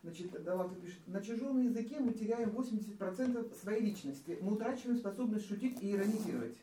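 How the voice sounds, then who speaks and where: Woman, thoughtful chatter, dark tone, distant echoey audio with faint noise. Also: mid-range voice, lively intonation, fast talker. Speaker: discussion guest. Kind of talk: casual group discussion.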